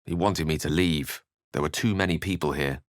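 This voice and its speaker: A man's voice: very deep voice, moderate pace, no room sound, studio quality. A man playing a refined, eloquent wizard in a video game, courteous and wry, with articulate, formal and theatrical speech. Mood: measured, grave. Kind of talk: video game voice lines and narration.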